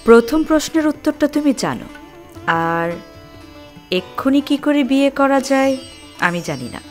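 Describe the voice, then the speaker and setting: A woman playing a trusting, gently frank young woman in love in an audio drama. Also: high-pitched, very animated, normal speed, faint music behind. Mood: tender, frank.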